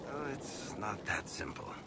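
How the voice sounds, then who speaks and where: An actor playing a wry, guilt-ridden dwarf storyteller in a video game, blunt and sardonic but hesitant when pressed. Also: male voice, lowish voice, expressive, a little slow, noisy background. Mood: wry, evasive.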